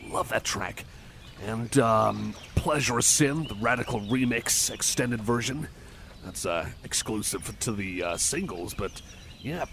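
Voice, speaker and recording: A man plays a shy cyborg pal with a gravelly, crackling edge to his voice. He rambles in hesitant bursts like a kindly game-music nerd in an ASMR roleplay, with clear outdoor noise and birdsong around him. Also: medium pitch. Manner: hesitant, halting, rambling, apologetic. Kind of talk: roleplay ASMR, casual one-sided chat. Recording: noisy outdoor ambience with birdsong.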